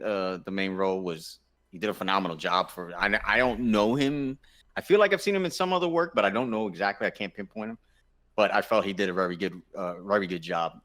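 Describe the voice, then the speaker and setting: A man with a low, bright voice talks casually on a panel. He is effusive and keeps stopping to rephrase as he goes, with a forceful edge to his tone. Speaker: gaming show panelist. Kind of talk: casual chat, movie discussion.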